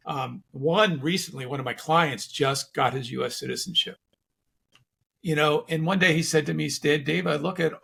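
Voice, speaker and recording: A man's voice: medium pitch, gravelly, fairly bright tone, breathy edge. A man hosting a conversational interview, asking curious, rambling questions with many restarts. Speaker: podcast host.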